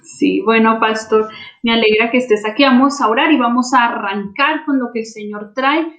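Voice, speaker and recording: A woman's voice: on the high side, normal speed, fairly bright tone. A woman pastor hosting a church program, praying fervently with a repetitive cadence.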